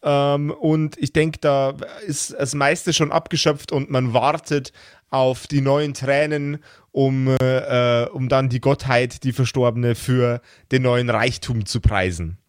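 A man's voice: mid-range voice, steady tone, takes it slowly, dry recording, clean studio sound. A man talking casually as a podcast host and game master, thinking aloud and often repeating words as he builds a fictional world.

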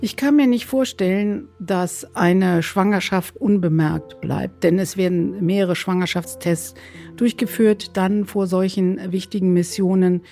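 A woman speaking as an expert flight physician in an interview, explaining haltingly and repeating words for emphasis. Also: on the low side, fairly even intonation, dark tone, soft background music.

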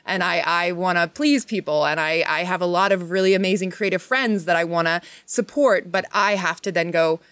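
A woman's voice: on the low side, fairly quick, hardly any pauses, a bit bright, dry recording. A woman in her thirties talking casually and reflectively, earnest and introspective as a panelist. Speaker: actor, podcast panelist.

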